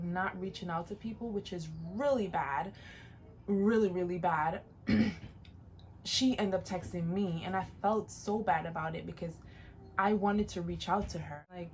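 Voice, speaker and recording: A woman's voice: on the low side, darkish tone, a little hiss or hum. A woman in her late twenties, originally from Jamaica, giving casual, encouraging advice in a vlog and repeating phrases for emphasis. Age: late 20s. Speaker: vlogger.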